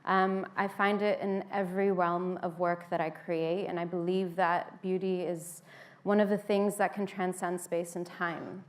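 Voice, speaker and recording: A woman's voice: lowish voice, flat delivery, unhurried. Conversational American woman speaker. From United States (Washington, D.C.). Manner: conversational, with frequent "um" fillers. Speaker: speaker at a public talk. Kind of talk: informal seated talk to an audience.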